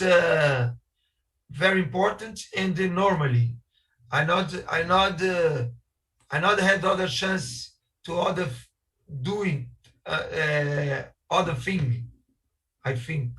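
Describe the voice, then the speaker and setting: A man with a Brazilian Portuguese accent works through slow, broken English, often stopping to hunt for the right word. His voice is bright and slightly high. He sounds a bit distant from his video-call mic. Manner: searching for English words, many hesitations and restarts. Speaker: architect guest speaker. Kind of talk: halting webinar talk in a second language.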